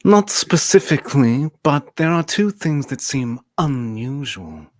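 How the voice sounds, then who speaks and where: A woman playing a sultry, dreamy empath aboard a starship, halting and suggestive, in an amateur radio play. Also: a bass voice, lively intonation, dry recording, studio quality, full character voice. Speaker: podcaster acting in script reenactment.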